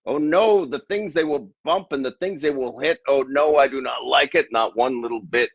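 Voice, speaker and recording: A man voicing a nervous, scolding pet fish from a children's storybook in a high, strained, worried voice. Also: moderate pace, gravelly, husky, dry recording. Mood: fretful. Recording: dull lo-fi dry recording.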